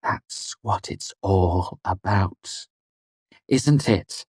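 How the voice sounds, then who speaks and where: A man voicing a proud, sharp-tongued young woman bard in an audiobook, her lines scornful and heated. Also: low voice, very slow, breathy edge, no room sound, studio quality, heavily acted. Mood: cold.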